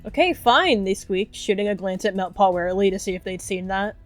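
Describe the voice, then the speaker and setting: A woman's voice: medium pitch, little pitch movement, a little fast, a little mellow, faint music behind. A woman narrating a character's actions in a storylike roleplay. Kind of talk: scripted-sounding roleplay narration.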